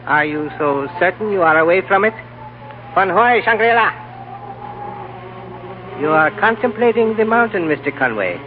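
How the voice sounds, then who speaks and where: Courteous, serene lamasery guide, tinny radio. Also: male voice, high-pitched, lively intonation. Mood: cryptic, serene. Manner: formal, measured, polite insistence. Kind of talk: 1940s radio acting. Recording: tinny, narrow old radio recording, faint noise.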